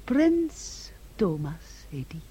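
An actress in a Dutch radio play playing a moody, brooding young woman with a very breathy, husky voice. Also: on the low side, big pitch swings, drawn-out words, mellow, heavily acted. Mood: hushed, haunting. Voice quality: very breathy, husky, often near whisper. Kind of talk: Dutch radio drama acting.

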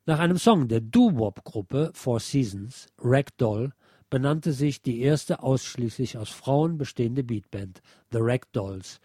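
A man reading aloud from a nonfiction book as a radio presenter, in a measured, articulate way. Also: medium pitch, animated, warm tone, breathy edge, faint music behind. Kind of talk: scripted narration, read aloud from a nonfiction book.

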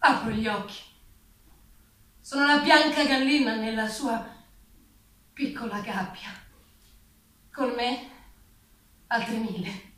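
Donna che interpreta una gallina spaventata, voce acuta, stanza riecheggiante. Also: female voice, on the high side, fairly bright tone. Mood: subdued, pleading. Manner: dramatic, drawn-out declaiming. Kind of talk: theatrical stage monologue with chanted and sung passages. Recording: distant, echoey stage recording, faint noise.

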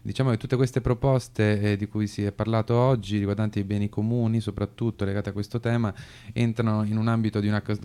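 A man giving semi-improvised political commentary in a halting way, often searching for his words. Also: fairly low pitch, vocal fry, dark tone. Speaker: radio commentator.

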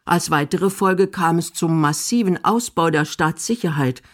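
A woman's voice: on the low side, a little slow. A woman from Germany, reading scripted documentary narration.

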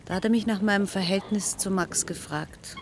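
A woman's voice: medium pitch, moderate pace, a little hiss or hum, lightly acted. An actress playing a candid, wry woman in a radio crime drama, talking informally and intimately. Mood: wistful, wry. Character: candid, wry female translator, lover of the heir.

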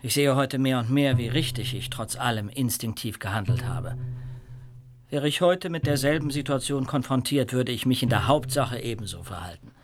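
An actor playing a composed, self-justifying conductor in a radio play, speaking reflectively. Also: male voice, mid-range voice, normal speed, noisy background, natural voice. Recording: music playing under voice.

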